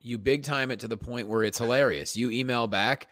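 A man's voice: medium pitch, a little fast, no room sound, clean studio sound. A man who co-hosts a comedy podcast, telling anecdotes casually and acting out what people say. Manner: animated, acts out quotes.

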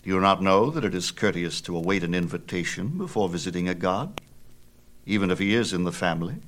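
A man's voice: low-pitched, expressive, a little fast, a bit bright. A man voicing a proud, mighty father god in a myth tale, imperious, theatrical and emphatic. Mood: lofty, teasing. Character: proud, mighty sun god father, booming and quick-tempered. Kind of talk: dramatized myth storytelling.

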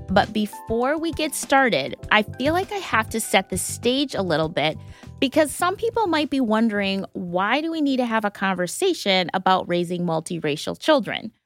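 A woman in her forties hosts a conversation-driven podcast, speaking in an easy, conversational way. Her voice sits at an ordinary middle pitch. The recording is close and dry, with no room sound. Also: no echo. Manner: warm conversational, sets context with statistics. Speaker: podcast host. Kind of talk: interview podcast.

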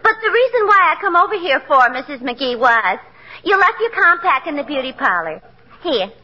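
An actress playing a chatty, wisecracking beauty operator in 1940s radio comedy, gossipy, with mangled would-be genteel grammar. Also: female voice, high voice, sing-song, moderate pace, pushed and bright, full character voice. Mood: chirpy, joking. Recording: dull, noisy 1940s radio recording.

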